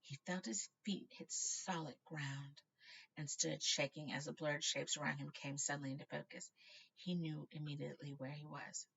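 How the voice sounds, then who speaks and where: Low, creaky-voiced woman reading a storybook. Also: fairly even intonation, moderate pace, fairly bright tone, highs cut off. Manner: informal, stumbles and corrects herself. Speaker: storybook reader. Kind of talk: read-aloud children's novel narration.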